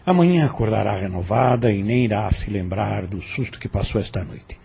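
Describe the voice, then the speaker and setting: An actor with a Brazilian accent playing a sly, falsely deferential plantation overseer in an audio drama, measured and insinuating. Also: male voice, on the low side, expressive, vocal fry, dark tone. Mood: slyly menacing. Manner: measured, insinuating, mock-courteous.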